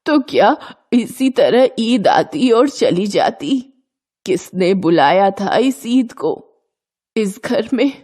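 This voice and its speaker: A woman's voice: a little high, a little mellow. A woman playing a poor, anxious old grandmother in a dramatised children's story, in a plaintive, lamenting manner.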